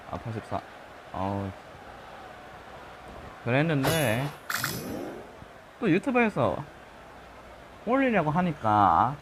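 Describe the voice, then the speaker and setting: A man with a regional Korean accent chatting casually over a football video game, his voice a little husky from just waking up, breaking into loud excited shouts. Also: medium pitch, noisy background. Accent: regional Korean dialect. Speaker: gaming channel host. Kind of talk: live gameplay commentary. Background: game music.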